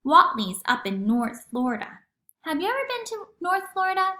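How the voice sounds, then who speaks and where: A woman's voice: very high-pitched, sing-song, normal speed, pushed and bright, voice pushed up, heavily acted. A woman voicing an earnest, hopeful ten-year-old girl in a storybook reading. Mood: wistful. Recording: echoey room.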